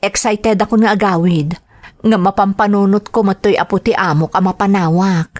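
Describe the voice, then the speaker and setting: A woman playing a gentle, homesick mother working abroad, acting in a sentimental radio drama with a Filipino accent, tender and emotional. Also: mid-range voice, fairly even intonation, normal speed, no room sound, natural voice. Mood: homesick, affectionate.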